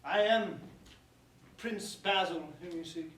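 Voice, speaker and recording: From far across an echoey room comes a high-pitched bookish prince, played by a man, posing as a timid old man. He stammers theatrically and slowly through a staged verse play, with some noise behind him. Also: fairly bright tone. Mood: defiant.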